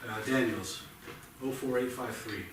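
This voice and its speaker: An actor playing a polite, by-the-book police officer in a staged play reading, measured and procedural. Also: male voice, mid-range voice, lightly acted. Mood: polite, matter-of-fact. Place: theatre stage, indoors. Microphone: distant room microphone. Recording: very echoey theatre, distant mic, noisy.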